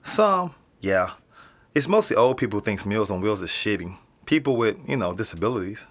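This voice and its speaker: A nonchalant, low-voiced American teenage boy. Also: sing-song, normal speed, fairly bright tone. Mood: casual. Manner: casual, slangy, offhand. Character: nonchalant streetwise teenage boy living in an apartment building. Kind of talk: naturalistic audio drama interview.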